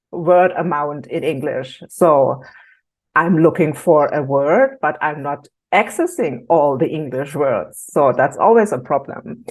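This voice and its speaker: Woman, low voice, slow pace, hesitant, casual conversation. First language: German. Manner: thoughtful, hesitant with many ums. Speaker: workshop team member. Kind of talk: casual video-call conversation.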